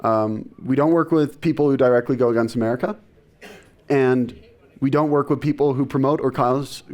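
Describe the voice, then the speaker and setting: A young man, a startup co-founder on a conference panel, answering in a measured way and reasoning step by step. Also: medium pitch, fairly even intonation, a little mellow, dull audio. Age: young adult. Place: conference stage before an audience.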